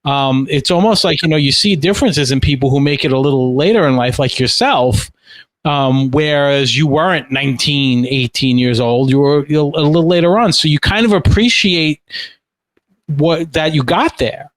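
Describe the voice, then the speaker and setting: A man's voice: a little high, moderate pace, fairly bright tone, dry recording. Reflective male podcast host, dry sound. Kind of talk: casual interview chat.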